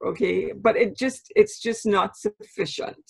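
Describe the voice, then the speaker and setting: A woman's voice: a little high, big pitch swings, vocal fry, husky. A woman from Trinidad with a Trinidadian accent, a professor explaining patiently in an interview and often checking in with "right?". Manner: measured, spells out terms, checks in with "right?". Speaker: anthropology professor, guest.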